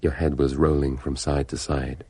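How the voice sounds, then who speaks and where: A man's voice: a bass voice, flat delivery, normal speed, dark tone, husky, no echo, full character voice. An actor playing a grave, brooding middle-aged English father who recounts events in a radio play. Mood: brooding, intense. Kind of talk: radio play acting, hushed narration.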